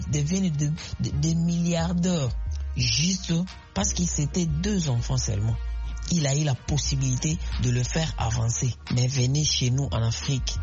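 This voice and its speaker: A man's voice: mid-range voice, darkish tone, highs cut off. A young man from Congo speaking French with a Congolese accent, calling in to a radio show and making an oratorical argument full of rhetorical questions and repetition. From Congo (DRC). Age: young adult. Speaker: radio call-in contributor. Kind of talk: impassioned opinion on radio call-in.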